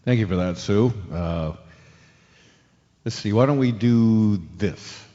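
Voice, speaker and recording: A man's voice: on the low side, slow, background noise. A man playing a laid-back sports radio host in an audio drama, casual and dry with a hesitant, offhand delivery. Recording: dull lo-fi with music behind.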